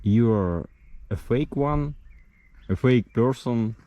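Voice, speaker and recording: A man's voice: mid-range voice, animated, takes it slowly, mellow, muffled recording. A man, hosting an interview in broken English and asking pointed questions. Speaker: interviewer and channel host. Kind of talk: casual interview.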